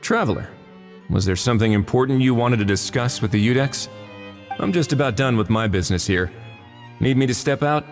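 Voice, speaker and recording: An actor playing a polite, composed, gently amused man with a measured manner in a video game. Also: mid-range voice, big-room sound, faint music behind, lightly acted. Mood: amused, courteous. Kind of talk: video game cutscene voice acting.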